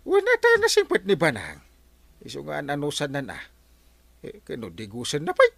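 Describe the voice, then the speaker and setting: An actor playing an excitable middle-aged village captain in a radio comedy, swinging between yelps and mutters. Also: male voice, on the high side, normal speed, vocal fry, dry recording, full character voice. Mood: gossiping. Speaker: barangay captain. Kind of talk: radio comedy drama acting.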